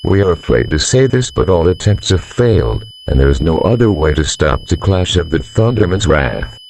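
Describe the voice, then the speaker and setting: Guy acting as grave presidential spokesman on emergency broadcast, really low voice, faint hum behind it. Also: big pitch swings, moderate pace, darkish tone. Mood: solemn, resigned. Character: grave male presidential representative delivering a doomsday broadcast. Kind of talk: scripted emergency alert announcement. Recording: humming, faintly noisy audio.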